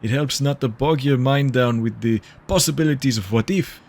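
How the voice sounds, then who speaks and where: Man acting a gravelly, measured senior detective. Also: mid-range voice, a little mellow, natural voice. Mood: wry mentoring. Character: measured, mentoring senior male sci-fi detective. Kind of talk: audio drama dialogue.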